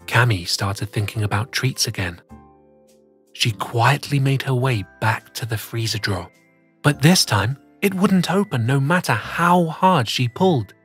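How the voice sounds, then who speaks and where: Picture-book narrator and host: expressive, very lively pitch, husky edge, soft music behind. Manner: expressive, deliberate storytelling for young children. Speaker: children's story reader and host.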